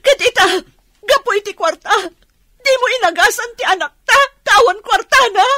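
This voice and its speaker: Desperate, very high-voiced young mother. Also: animated, normal speed, pushed and bright, no room sound, clean studio sound, exaggerated voice. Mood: tearful, reproachful. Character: desperate young mother, tearful, fierce and reproachful. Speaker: radio drama actor. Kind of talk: radio drama acting.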